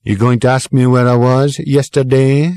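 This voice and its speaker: A man's voice: medium pitch, flat delivery, normal speed, darkish tone, smooth, no echo, lightly acted. A man voicing a gloomy, aggrieved middle-aged lorry driver who rants. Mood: needling. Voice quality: ranting, table-thumping.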